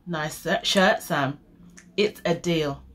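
A woman voicing a vain, greedy male tiger in a children's storybook, with boastful, drawn-out declarations. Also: low-pitched, fairly bright tone, full character voice. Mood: pleased, vain.

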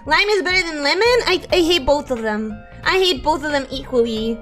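A young woman streaming casually, her voice hoarse and ragged from sickness, giggling often as she reads chat and greets viewers. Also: high voice, brisk, a bit bright, faint music behind.

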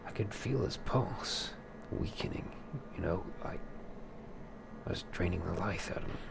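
A man improvising an in-character roleplay over a video game, muttering in a hesitant, halting way. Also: low-pitched, gravelly, background noise. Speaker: let's play roleplayer. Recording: loud game music behind. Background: game music.

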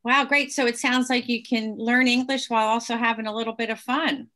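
A woman moderates an online panel over a video call. She sounds upbeat and enthusiastic, with a slightly high, bright voice. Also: steady tone, brisk.

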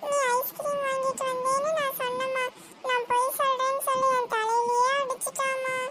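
Extremely squeaky, nagging cartoon girl. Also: level tone, a little fast. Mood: complaining. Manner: nagging, teasing, complaining. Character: bossy, teasing big sister, young girl. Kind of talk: cartoon comedy dialogue. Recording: loud noise behind the voice.

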